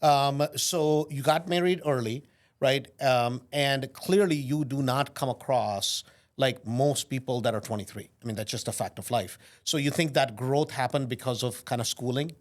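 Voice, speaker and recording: A man hosting an interview podcast, asking questions in a blunt, lively way and often checking with "right?". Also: mid-range voice, moderate pace. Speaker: podcast host. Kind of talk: conversational interview.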